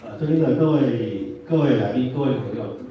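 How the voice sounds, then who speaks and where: A man's voice: medium pitch, dull audio. Male Chinese company speaker, hesitant and formal, far from mic with faint noise. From China. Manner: halting, hesitant delivery. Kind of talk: formal speech. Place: large indoor hotel event room. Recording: very echoey hall, distant mic, faint noise.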